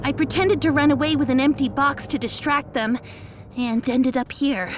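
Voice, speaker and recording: A woman voicing a timid, gentle rabbit botanist in a video game, plaintive and hesitant. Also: high-pitched, normal speed, background noise, natural voice. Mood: earnest, grateful. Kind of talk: video game cutscene acting.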